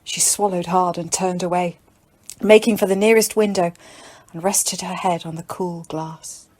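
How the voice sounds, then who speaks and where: A woman with a slightly low voice reads an audiobook. She delivers scripted fiction as dramatic third-person narration. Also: steady tone, normal speed, darkish tone.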